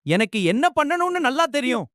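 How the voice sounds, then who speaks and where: A man voicing a cocky, mischievous little rabbit in a children's cartoon, with an expressive delivery. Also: extremely high pitch, a little fast, no room sound, clean studio sound, exaggerated voice. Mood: cocky, dismissive. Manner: boastful, expressive. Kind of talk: children's animated moral story dialogue.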